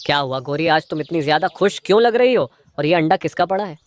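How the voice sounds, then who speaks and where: A man's voice: a little high, lively intonation, fast talker, slight background noise, lightly acted. A man voicing a stingy, two-faced crow husband in a moral-story cartoon, a schemer who feigns sweetness to his wife. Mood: alarmed, grumbling.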